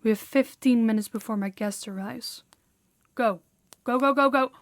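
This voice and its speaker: A woman's voice: mid-range voice, steady tone, moderate pace, mellow, no echo, lightly acted. A woman playing a dominant mafia boss in an audio roleplay, stern and condescending, giving orders and patronizing praise. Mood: exacting, impatient. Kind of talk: audio roleplay addressed to the listener.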